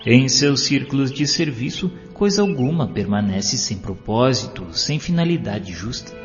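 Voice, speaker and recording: A man reading a scripted devotional narration and poetry in a solemn, declamatory manner with drawn-out phrases. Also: medium pitch, expressive. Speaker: audiobook narrator. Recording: dull lo-fi audio with long hall reverb. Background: clear music.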